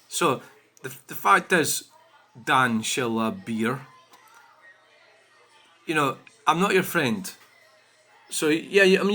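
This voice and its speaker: A Scottish man rants on his own channel in a bright, forceful voice. He talks indignantly and swears freely, padding his lines with "you know" and "I mean" as he picks apart viewer comments. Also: high-pitched. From Scotland. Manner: indignant, profane, full of fillers like "you know". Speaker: channel host.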